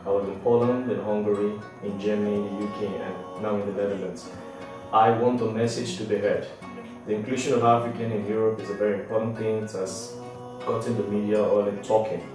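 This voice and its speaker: A man's voice: on the low side, level tone, a little fast, music in the background. A young man from Nigeria giving an earnest prepared statement to camera indoors. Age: young adult. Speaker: former exchange student. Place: indoor room. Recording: echoey room, mic at a distance.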